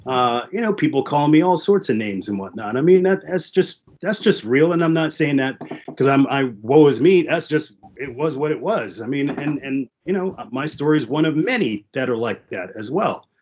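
A man's voice: on the high side, expressive, normal speed, a little mellow, husky, lo-fi sound. A man whose first languages were French and Haitian Creole, telling his story candidly as a panel guest, with lots of "uh" and "you know". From United States (New York and New Jersey). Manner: earnest, frequent "uh" and "you know" fillers.

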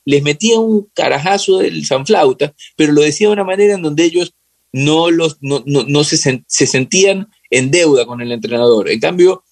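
A man's voice: a little high, lively intonation, normal speed, dry recording. A man with an Ecuadorian accent talking casually and reflectively as an interview guest, searching for words and often repeating phrases. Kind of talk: casual, reflective football discussion.

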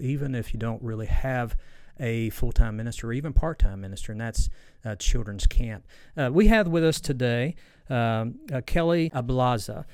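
Man, podcast host, casual interview, halting delivery, dry sound. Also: mid-range voice, a little mellow, clean tone, no echo. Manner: halting, with many fillers.

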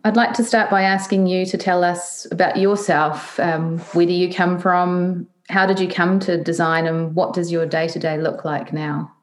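A New woman hosts a podcast interview in a fairly low voice. She reads her introduction in a measured way and asks her questions with a few "um"s. Her delivery stays calm and unhurried. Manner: measured, hesitant with fillers when asking. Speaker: podcast host.

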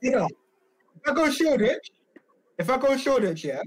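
A man, excitably chatting and repeating himself in a casual stream. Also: extremely high pitch, normal speed. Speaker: livestream co-host. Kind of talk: casual chat.

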